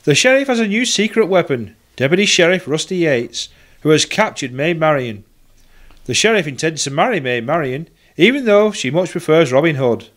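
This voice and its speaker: A man narrating the recap and scene-setting of an amateur radio play in a measured storybook manner. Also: on the high side, lively intonation, fairly bright tone.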